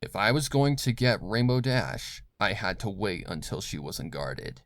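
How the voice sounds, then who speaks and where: Low-voiced man narrating a dark story. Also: sing-song, normal speed, no room sound, clean studio sound. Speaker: fan fiction narrator. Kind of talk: scripted dramatic story narration.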